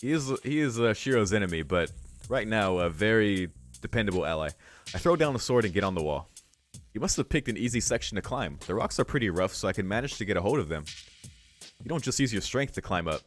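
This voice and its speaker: A man's voice: lowish voice, lively intonation, fast. A man hosting a let's play, reading a visual novel aloud with casual, sweary asides. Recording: music behind the voice. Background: game music.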